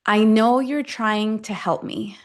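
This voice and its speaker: A woman guides a self-affirmation session at a medium pitch. She speaks in short, deliberate phrases and leaves long pauses between them, in the style of a guided meditation. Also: fairly even intonation.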